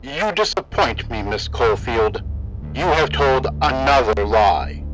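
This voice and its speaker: A man playing a smug, controlling captor in an animated fan drama, slightly nasal and chastising. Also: mid-range voice, slow pace, faint music behind, poor audio. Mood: cold, smug. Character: smug male captor, cold controlling villain.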